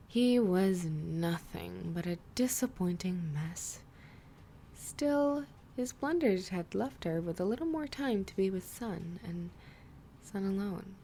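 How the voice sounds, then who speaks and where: A woman reading fan fiction aloud in a soft, slow, often whispery narration. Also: medium pitch, a little hiss or hum.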